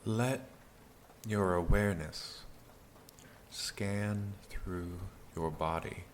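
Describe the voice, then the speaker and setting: A man leading a guided meditation in a calm, soothing, gentle manner with long pauses. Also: low voice, slight background noise. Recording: steady rain sound behind the voice. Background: rain backtrack.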